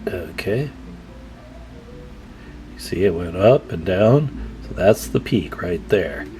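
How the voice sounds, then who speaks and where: A man's voice: low voice, animated, takes it slowly, dark tone, faint music behind. A man presenting a hands-on repair tutorial in a casual, plain-spoken, advising way.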